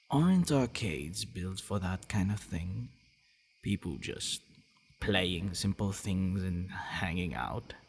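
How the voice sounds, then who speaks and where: A man playing a gentle, devoted vampire boyfriend in an intimate ASMR roleplay, speaking softly and haltingly. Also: deep voice, slight background noise, full character voice. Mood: hopeful. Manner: halting, soft-spoken, often dropping to breathy murmurs.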